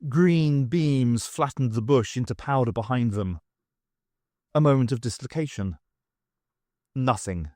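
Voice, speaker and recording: A man reading a scripted story as an audiobook narrator, with expressive delivery. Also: mid-range voice, measured pace, pauses often, no echo.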